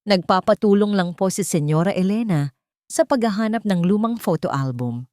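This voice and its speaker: A woman's voice: medium pitch, expressive, normal speed, a little mellow, no echo, studio quality, close to an everyday voice. A woman voicing a loyal elderly housemaid who speaks anxiously and deferentially in an audio drama story. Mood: nervous, evasive.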